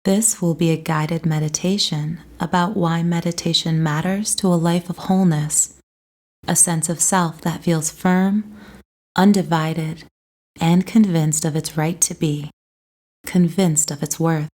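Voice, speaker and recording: A woman leads a guided meditation with a mellow, relaxed tone. Her voice sits on the low side, and she reads her script very slowly, with a gentle, soothing delivery.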